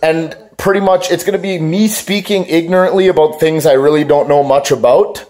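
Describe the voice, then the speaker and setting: A man's voice: a little high, a little fast, darkish tone, poor audio. A man chatting casually on his vlog, rambling and self-mocking, with plenty of swearing. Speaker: vlogger. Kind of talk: casual joking vlog chat.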